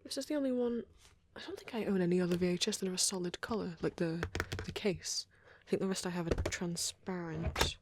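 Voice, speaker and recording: A woman voicing a shy vampire boy who rambles gently in an ASMR roleplay, soft-spoken and hesitant, slipping into breathy whispers. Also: fairly low pitch, a little fast, hardly any pauses, background noise, natural voice. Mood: curious, gentle.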